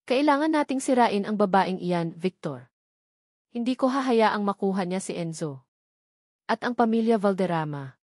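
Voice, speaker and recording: A woman's voice: mid-range voice, animated, moderate pace, a little mellow, dry recording, lightly acted. A jealous villainess in a romantic drama, read by a woman narrator. She is curt, cold and evasive, heard in a dry recording. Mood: vengeful.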